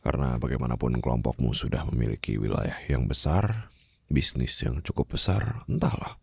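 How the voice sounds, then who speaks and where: Casting: male actor for cold mafia boss in intimate ASMR roleplay; extremely low, husky voice, condescending, controlled menace. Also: expressive, moderate pace, a little mellow, no echo, heavily acted. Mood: stern, accusing. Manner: formal, condescending, controlled, mockingly sweet. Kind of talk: ASMR roleplay acting, addressed to the listener. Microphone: close studio-style microphone.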